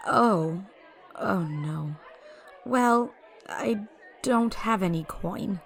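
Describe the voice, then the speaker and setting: Courteous, naive fey wanderer acted by a woman, unhurried and hesitant, mid pitch, fantasy audio drama. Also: lively intonation, gravelly, some chatter behind, full character voice. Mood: embarrassed, apologetic. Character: gentle, polite fey wanderer woman, youthful-seeming, naive.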